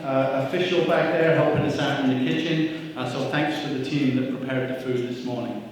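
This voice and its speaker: A man's voice: mid-range voice, steady tone, a little fast, slight background noise. Upbeat man speaking in an echoey hall. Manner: upbeat, self-deprecating jokes, frequent "uh" fillers. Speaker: CEO giving a welcome speech. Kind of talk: live speech at a breakfast event. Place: church hall with audience. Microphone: handheld microphone. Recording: echoey hall, mic from a distance.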